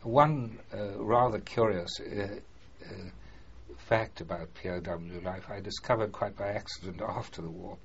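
Older guy, really low voice, lots of uhs, formal way of talking, dull old tape with a bit of hiss. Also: measured pace. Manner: hesitant, many uh fillers, formal "one" phrasing. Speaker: former prisoner of war, interviewee. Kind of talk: oral history interview. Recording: muffled archive recording with faint hiss.

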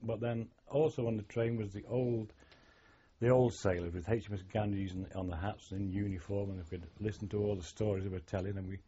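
A man's voice: on the low side, mellow, a little hiss or hum. An older man, a former sailor, thoughtfully recalling his childhood and navy days in an oral history interview. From England. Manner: reflective, storytelling, wry. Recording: dull lo-fi archive tape.

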